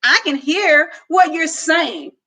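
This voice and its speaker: A spirited young girl calls out defiantly in a high, bright voice, as a woman reading a storybook puts her on. She is stubborn and quick to answer back. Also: lively intonation, heavily acted. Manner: hollering, defiant. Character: ten-year-old girl, bold and stubborn.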